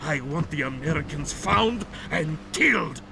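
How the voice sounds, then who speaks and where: An actor playing a commanding military villain, barking orders with authority in a video game cutscene. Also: male voice, a little high, animated, measured pace, vocal fry, noisy background. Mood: urgent, ruthless.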